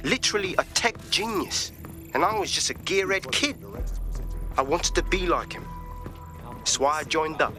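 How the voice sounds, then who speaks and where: A man's voice: on the high side, animated, moderate pace, pauses often, music in the background, natural voice. A man playing a cocky, streetwise young hacker with a London accent and slangy, informal talk in a video game. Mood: candid, bitter.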